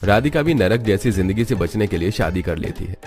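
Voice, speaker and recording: A man's voice: on the low side, expressive, fairly quick, a little mellow. A man narrating a scripted moral story as a storyteller.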